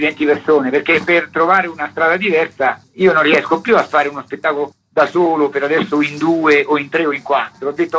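A man being interviewed as a theatre performer, explaining his work emphatically in long, wordy sentences with a husky voice. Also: fairly high pitch, fairly bright tone, a little hiss or hum, rough recording. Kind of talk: reflective interview talk. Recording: radio interview broadcast.

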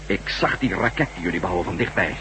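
Embattled astronaut hero for sci-fi radio play: intense, sharp, swooping delivery, slightly noisy recording. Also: male voice, low voice, expressive. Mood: earnest. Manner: intense, halting, dramatic. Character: desperate male former astronaut hero, struggling, emotional. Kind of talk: Dutch science fiction radio drama acting.

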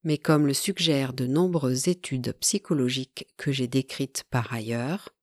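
A woman's voice: very deep voice, a little slow, darkish tone, no room sound. Woman reading an audiobook, dry sound. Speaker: audiobook narrator. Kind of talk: scripted audiobook narration.

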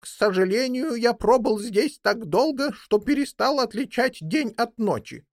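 A woman playing a weary, courteous imprisoned man in a children's fairy tale, speaking in a measured, earnest way. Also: on the high side, lively intonation, dry recording, clean studio sound, pitched above the performer's own voice. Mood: weary, polite.

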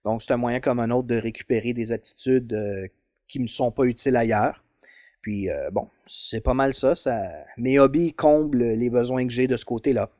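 A man's voice: medium pitch, fairly even intonation, moderate pace, mellow, no room sound, clean studio sound. A man in his thirties with a Quebec French accent, chatting as a podcast guest about his hobbies, hesitant with many fillers. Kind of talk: casual interview chat.

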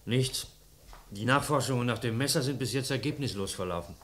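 An actor playing a dutiful, matter-of-fact police assistant, brisk and deferential, in a German radio crime drama. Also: male voice, medium pitch, a bit bright, close to an everyday voice. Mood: businesslike. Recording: noisy old radio drama recording.

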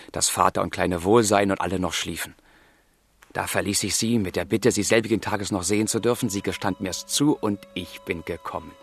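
A man's voice: fairly low pitch, creaky. An actor playing an ardent, lovestruck young man in a German literary radio drama, speaking in an emotional, poetic way. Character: ardent young romantic man, lovestruck, melancholic letter writer.

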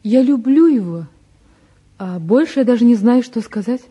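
An actress playing a sincere, gentle young bride in a 1950s Soviet radio drama, speaking earnestly and politely. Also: female voice, a little high, lively intonation, moderate pace, husky, dull audio. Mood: apologetic, earnest. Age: young adult.

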